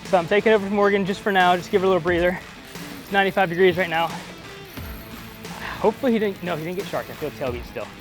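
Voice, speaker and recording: A man's voice: sits high, fast talker. A man hosting a casual fishing vlog outdoors on a boat. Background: music and boat sounds.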